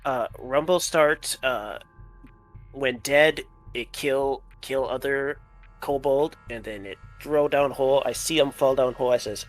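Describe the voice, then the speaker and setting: A man's voice: mid-range voice, lightly acted. A man voicing a skittish, simple-minded kobold mine guard in broken, repetitive pidgin for a tabletop game. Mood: fearful recounting. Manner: broken pidgin grammar, dropped words, repetitive. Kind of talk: tabletop role-play acting.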